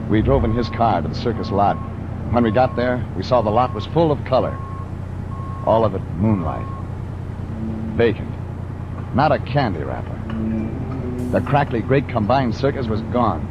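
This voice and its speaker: An actor playing a wry, terse, deadpan private detective in 1940s radio drama style, on an old recording with vehicle noise. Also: male voice, fairly low pitch, normal speed, long pauses, dull audio. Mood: grim narration. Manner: terse, deadpan, hard-boiled. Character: wry hard-boiled male private detective from Los Angeles.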